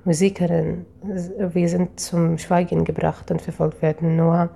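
A young woman with an Arabic accent answers slowly and haltingly, pausing often to search for her words. Her voice is gravelly and dark, and her pitch stays flat. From Palestinian town in Israel. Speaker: interviewee, piano student. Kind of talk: interview answers.

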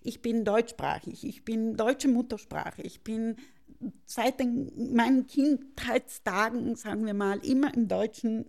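A middle-aged woman from South Tyrol with a South Tyrolean accent, speaking reflectively as an interview guest in searching, halting phrases. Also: fairly high pitch, vocal fry, darkish tone. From South Tyrol, Italy.

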